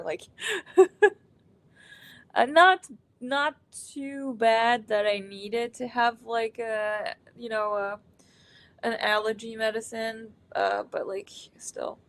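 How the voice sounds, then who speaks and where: A woman co-hosting a reading stream in casual chat, talking in a halting, rambling way and sniffling a bit from allergies. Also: mid-range voice, lively intonation. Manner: halting and rambling, many fillers and restarts, trailing off. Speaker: co-host of a reading sprint stream.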